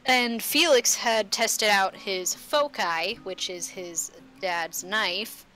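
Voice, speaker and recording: A woman's voice: mid-range voice, takes it slowly, bright, forceful tone, rough recording. A woman acting as game master, narrating a tabletop roleplay session in a casual, conversational way. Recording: faint music behind. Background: faint music.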